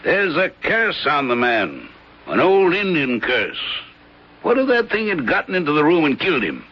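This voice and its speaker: A gruff country innkeeper from a radio play. He talks in terse, folksy grumbles that swing in pitch, on a dull old recording. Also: huge pitch swings, normal speed, exaggerated voice. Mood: sour, brooding. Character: gruff, sour, superstitious male innkeeper in rural Maine. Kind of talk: radio drama acting.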